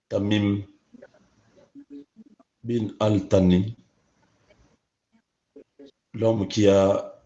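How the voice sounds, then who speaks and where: A man, hoarse from a cold, talking in a slow, halting way with many fillers as a livestream host. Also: fairly low pitch, mellow, highs cut off. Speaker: online channel host. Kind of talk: casual livestream commentary.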